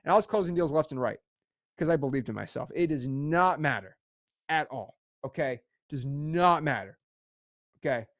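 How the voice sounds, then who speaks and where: A young man teaching a casual livestream course with energetic, repetitive pep talk. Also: a little high, normal speed, no room sound, clean studio sound.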